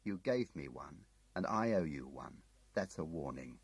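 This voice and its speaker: A man's voice: very deep voice, expressive, a little slow, vocal fry, slightly rough, dry recording, full character voice. A man voicing a brusque, mocking young male agent who taunts and jabs at the man he is watching. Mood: taunting. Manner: taunting, jabbing.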